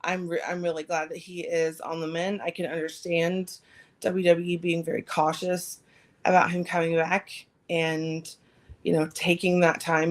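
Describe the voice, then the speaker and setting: A woman co-hosting a podcast in a casual chat, her voice slightly raspy after recent surgery. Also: low-pitched. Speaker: podcast co-host.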